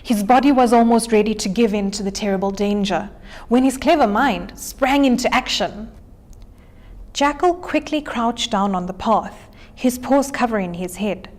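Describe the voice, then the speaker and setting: Female storybook reader, fairly high voice, animated delivery for children. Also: normal speed.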